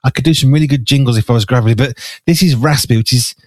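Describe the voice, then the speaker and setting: A man with a raspy, gravelly, hoarse voice, an animated radio host chatting effusively with many restarts. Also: medium pitch, very fast, no room sound, clean studio sound. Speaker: radio host and magazine editor. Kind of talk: casual conversation. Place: farmhouse.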